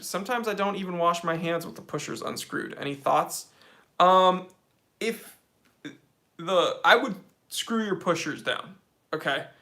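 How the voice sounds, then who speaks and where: A man hosting a casual live-stream Q&A, reading chat questions aloud and answering in a relaxed, slangy way. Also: a little high. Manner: laid-back, slangy, reads chat aloud.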